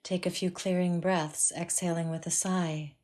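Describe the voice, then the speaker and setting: A woman teaching a guided meditation in a calm, measured way with long pauses. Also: on the low side.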